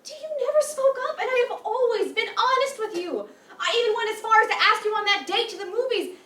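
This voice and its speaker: In a roomy space, an actress plays an exasperated young girlfriend in a stage drama. Her voice is high and swings up and down, outspoken and a little way from the mic. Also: animated, a little fast, bright, forceful tone, heavily acted. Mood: defensive. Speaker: actor in a staged reading. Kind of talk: live stage play reading. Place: echoey room, live staged reading. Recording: roomy, distant mic with faint noise.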